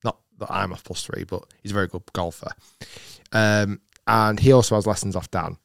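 Slightly hoarse man chatting. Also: fairly low pitch, fairly even intonation, normal speed, dry recording, studio quality. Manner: enthusiastic, chatty, reacting to his co-host. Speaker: podcast host. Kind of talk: casual chat.

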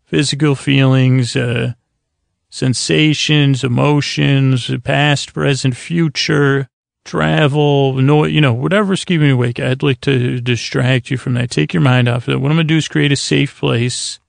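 A man with a heavily creaky, gravelly voice hosts a sleep podcast in a dry, echo-free recording. His tone is lulling and soothing. He meanders through halting sentences, doubling back and leaving thoughts unfinished. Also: medium pitch, fairly even intonation. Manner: meandering, halting, repeats and trails off mid-sentence. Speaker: podcast host. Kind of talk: rambling bedtime monologue to help listeners sleep.

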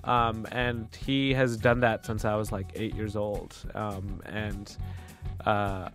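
A man's voice: fairly low pitch, level tone, slow pace, fairly bright tone, soft background music. A young man hosting a podcast in a studio, chatting casually, laughing often and stumbling over words. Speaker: podcast host. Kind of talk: casual conversational interview.

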